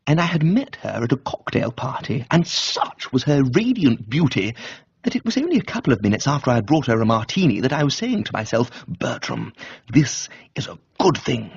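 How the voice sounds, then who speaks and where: A man's voice: medium pitch, sing-song, fairly quick, husky, dry recording, lo-fi sound. A man with an upper-class English accent reading first-person comic narration in a wry, droll manner. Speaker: audiobook reader.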